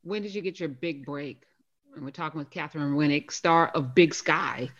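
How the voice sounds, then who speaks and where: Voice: mid-range voice, normal speed, a bit bright, dull audio. An interviewer asking questions casually, stammering a little at the start.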